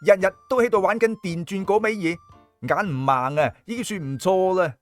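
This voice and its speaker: A woman's voice: medium pitch, normal speed, soft background music, pitched below the performer's own voice, close to an everyday voice. A woman voicing a crude, lecherous young ex-welder who talks in a blunt, self-excusing way. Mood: defensive.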